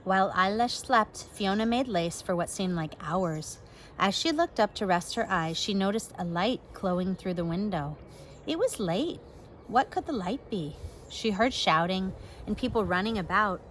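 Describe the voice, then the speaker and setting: A woman teacher reads a children's book to her pupils in an animated, varied voice. She slips into casual asides and puts questions to her listeners. There is faint noise on the recording. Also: mid-range voice, normal speed. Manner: expressive, questioning the young listeners. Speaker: elementary school teacher. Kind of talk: storybook read-aloud for pupils with casual asides.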